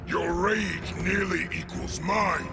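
A man voicing imperious, menacing inhuman villains in a video game, grandiose and gravelly. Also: high-pitched, takes it slowly, exaggerated voice. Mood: furious. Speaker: game voice actor. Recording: loud music behind.

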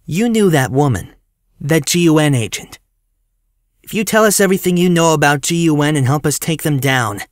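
A woman's voice: low voice, lively intonation, moderate pace, no echo, studio quality. A woman playing an earnest, protective young hero in an audio drama, speaking insistently.

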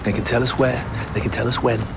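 An actor playing a frustrated young British sergeant, speaking bluntly and with indignation. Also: male voice, mid-range voice, flat delivery, fast, vocal fry, background noise, natural voice. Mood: bitter, frustrated.

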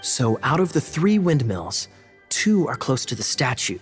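A sly young knight captain from a fantasy game, wry and amused as he thinks aloud. His pitch moves lively while he keeps an unhurried pace, with soft music underneath. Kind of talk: video game dialogue.

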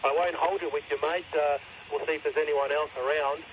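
Casting: Australian male ham operator, slightly high voice, casual call signs over a very noisy radio link. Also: fairly even intonation, fairly quick. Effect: heard through a two-way radio. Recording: noisy satellite radio link, loud static.

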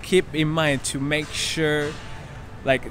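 A man's voice: on the high side, little pitch movement, slow, fairly bright tone, a little hiss or hum. A young man from Taiwan, chatting loosely with plenty of fillers while filming himself on city streets. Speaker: travel vlogger. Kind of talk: casual travel vlog. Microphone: phone camera at arm's length.

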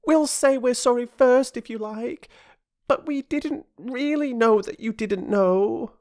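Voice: medium pitch, slow pace, darkish tone, voice pushed up, lightly acted. A narrator voicing an earnest young schoolgirl from a children's storybook, imploring and well-spoken. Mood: apologetic, pleading. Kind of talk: children's storybook character reading.

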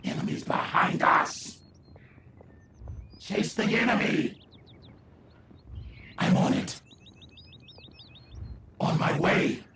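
An actor playing a sadistic, maniacal killer in a video game, with a raspy, breathy snarl and theatrical, gloating menace. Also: male voice, high-pitched, extremely slow, pauses often, sharp tone, slight background noise, full character voice. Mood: curt, menacing. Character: sadistic, maniacal male killer who relishes violence. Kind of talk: video game character voice lines.

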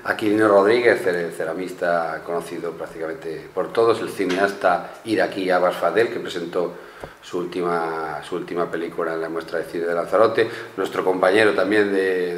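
A man, a journalist summing up news stories in a small studio, measured with small restarts. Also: deep voice, fairly even intonation. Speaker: newspaper journalist. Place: small studio with blue backdrop. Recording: echoey studio room, faint hum.

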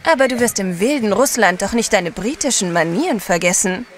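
Eine Schauspielerin spielt in einem Hörspiel eine vornehme, liebevoll neckende Ehefrau eines Gentlemans. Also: female voice, mid-range voice, animated, fairly quick, close to an everyday voice. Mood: teasing. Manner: polite, playful. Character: refined, teasing, affectionate gentleman's wife. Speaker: actor in an audio drama. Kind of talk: German audio drama acting.

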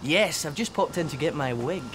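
Guy playing melodramatic stagey young man on kids tv, swoopy high voice, bit of background noise. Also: expressive, moderate pace, lightly acted. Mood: breezy. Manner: flamboyant, melodramatic. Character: theatrical, excitable, affectionate amateur panto actor, young man. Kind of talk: children's TV acting.

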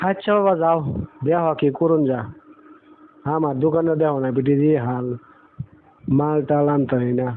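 A man's voice: fairly high pitch, normal speed, pauses often, slight background noise, lightly acted. A man playing a plain-spoken, struggling village shopkeeper in a cartoon, with a rural Bangladeshi accent and a colloquial, grumbling manner. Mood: worried, insistent. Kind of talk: cartoon dialogue. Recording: thin phone-like audio.

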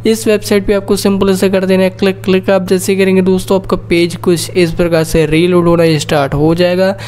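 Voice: mid-range voice, little pitch movement, a little fast, a little mellow, a little hiss or hum. An Indian-accented tech tutorial host narrating step-by-step phone instructions, often addressing viewers as friends.

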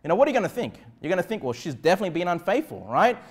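A man's voice: high-pitched, sing-song, very fast, breathy edge. A middle-aged Australian man preaching a sermon as a pastor, emphatic yet conversational, often checking that listeners follow. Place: indoor church pulpit in a small room. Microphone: clip-on lapel mic.

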